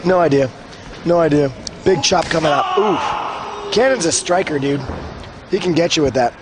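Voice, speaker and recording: A young man, a wrestler, doing color commentary at a wrestling show, hoarse from screaming and joking around. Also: on the high side, normal speed. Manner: joking, excitable, rambling. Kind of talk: live play-by-play commentary. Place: wrestling arena commentary desk. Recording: loud arena crowd and noise.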